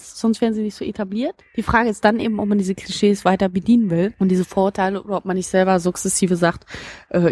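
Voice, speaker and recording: Reflective woman podcast host from Berlin, mid-pitched, dry recording, chatty and discursive. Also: a little mellow, dry recording. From East Berlin, Germany. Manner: reflective, talks in long winding sentences with many asides. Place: outdoors, open-air recording.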